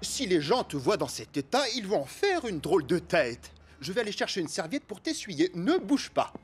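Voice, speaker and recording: A woman's voice: lowish voice, big pitch swings, normal speed, slight background noise. An actress playing a caring dog owner who fusses in a motherly way. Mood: worried. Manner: fussing, motherly. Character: kind adult woman, dog's caring owner. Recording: music underneath.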